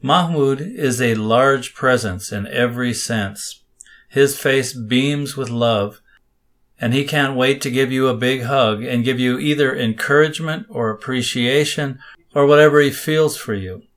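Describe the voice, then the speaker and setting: A man hosts a podcast and reads his introduction from a script. His delivery is steady, at a middle pitch and an even pace. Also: measured pace. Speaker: podcast host.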